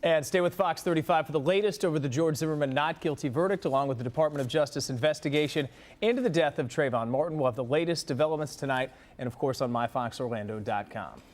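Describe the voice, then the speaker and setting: A man anchoring a local morning TV newscast, reading stories in a brisk, upbeat way. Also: fairly high pitch. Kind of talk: scripted news reading.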